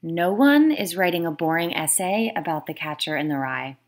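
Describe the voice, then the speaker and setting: A woman's voice: on the low side, animated, moderate pace. A woman who is an author, reading her own book aloud as scripted narration.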